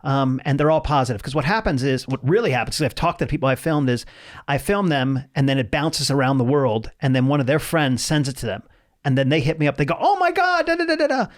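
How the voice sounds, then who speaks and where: A man in his forties, a comedian guest telling rambling, animated stories in a casual podcast chat. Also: mid-range voice, quick pace. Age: 40s.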